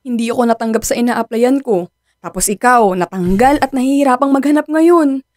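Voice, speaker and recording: An actress as a high-voiced, guilt-ridden young Filipino woman. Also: a little fast, darkish tone, no room sound, studio quality, lightly acted. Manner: fretful, pleading. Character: anxious, guilt-ridden young woman confiding in her boyfriend. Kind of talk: emotional radio drama dialogue.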